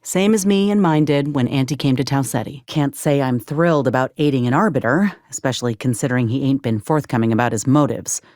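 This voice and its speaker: An actress playing a gruff, loyal sci-fi soldier and medic in a video game, speaking in a folksy, plainspoken, measured way. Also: female voice, a bass voice, a little mellow, no room sound, clean studio sound. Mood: earnest, measured. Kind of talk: video game companion dialogue.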